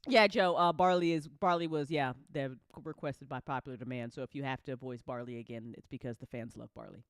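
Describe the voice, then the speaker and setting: An effusive podcast host chatting casually and excitedly, using slang and given to laughing. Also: medium pitch, fairly quick, smooth, no echo, studio quality. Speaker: host of a fiction podcast after-show.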